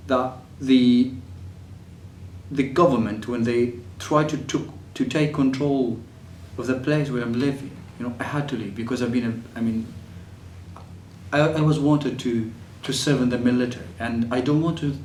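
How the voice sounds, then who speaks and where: A young man from Syria speaks, recounting his story with pauses, fillers and restarts. He sits some way from the mic in an echoey room, with faint ambient noise behind him. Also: mid-range voice, a little mellow, recorded from a distance. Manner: hesitant, many fillers and restarts. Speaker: refugee telling his story. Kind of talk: personal testimony.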